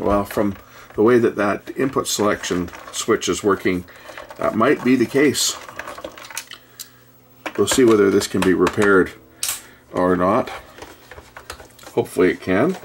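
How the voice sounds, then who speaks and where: A man with a low, creaky voice narrates a hands-on repair. He talks casually and thinks aloud, pausing as he works things out. There is faint noise behind him, with small clicks from handling parts. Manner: thinking aloud, hesitant while working. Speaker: host repairing electronics.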